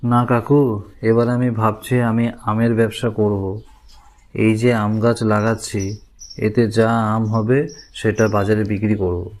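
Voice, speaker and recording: A man's voice: on the low side, flat delivery, slow pace, darkish tone. A man playing a poor but hopeful village farmer in a cartoon, speaking in an earnest, mild way. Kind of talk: cartoon character dialogue. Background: music.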